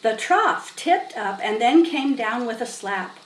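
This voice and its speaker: A woman's voice: on the high side, lively intonation, slow pace. A woman narrating a children's story expressively as a storybook reader. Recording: roomy, distant mic, faint noise.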